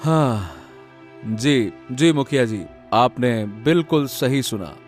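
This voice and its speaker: Man acting a slow, troubled young farmer. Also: medium pitch, animated, soft background music. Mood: downcast. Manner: halting, pleading, repeating words. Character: earnest, troubled, kind-hearted young farmer. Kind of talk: melodramatic Hindi cartoon story acting.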